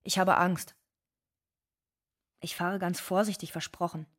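An actress playing an anxious, bitter undocumented migrant woman in a scripted dramatic monologue, with a husky voice. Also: lowish voice, steady tone, unhurried, fairly bright tone. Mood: anxious, pleading.

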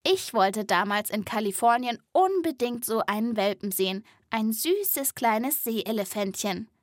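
A woman's voice: fairly high pitch, expressive, a little slow, no room sound. A woman hosting a children's podcast, telling stories as scripted narration and addressing young listeners directly, recorded in a studio.

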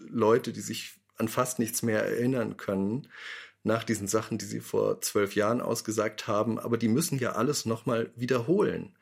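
A man with a fairly low, gravelly voice reflects in an interview. He talks thoughtfully and leans on key words with small pauses. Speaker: radio drama maker.

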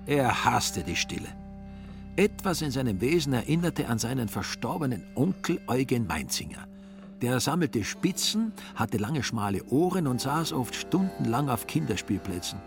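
A man's voice: medium pitch, big pitch swings, normal speed, vocal fry, music in the background. A man narrating a literary radio drama as a scripted storyteller.

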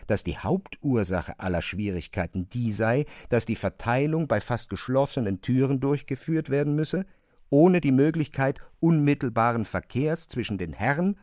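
A man's voice: mid-range voice, a little mellow, no room sound, clean studio sound. A man reads scripted literary narration for a radio drama.

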